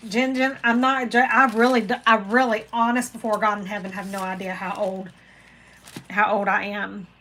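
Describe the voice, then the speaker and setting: Slow, bright-voiced woman. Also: medium pitch, flat delivery, a little hiss or hum. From Florida, USA. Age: 40s. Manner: rambling, chatty, talks to viewers by name. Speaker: craft channel host reading live chat. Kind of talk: casual livestream chat.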